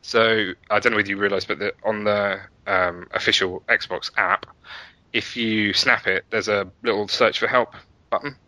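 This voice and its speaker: A man's voice: lowish voice, fairly even intonation, normal speed, sharp tone, dry recording, low-quality recording. A man speaking as an interview guest, chatting casually and telling stories with enthusiasm. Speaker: podcast guest, app and website developer.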